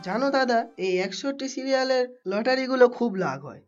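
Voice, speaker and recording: A woman's voice: high voice, fairly quick, natural voice. A woman voicing a chatty, persuasive lottery ticket seller in a cartoon, talking in a familiar way. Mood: coaxing. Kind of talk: cartoon voice acting. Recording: dull track with music behind.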